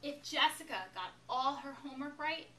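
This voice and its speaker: A woman reads a children's storybook with emphatic, dramatic phrasing. Her voice is fairly high and forceful. It sounds distant from the microphone, in an echoey room. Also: expressive, moderate pace, slight background noise. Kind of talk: expressive children's picture book read-aloud.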